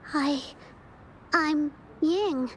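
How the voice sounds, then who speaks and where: A woman's voice: sits high, drawn-out words, a little hiss or hum, heavily acted. A woman voicing a shy, wistful young girl, hesitant and timid, in a video game. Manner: hesitant, soft-spoken, timid. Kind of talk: video game character dialogue.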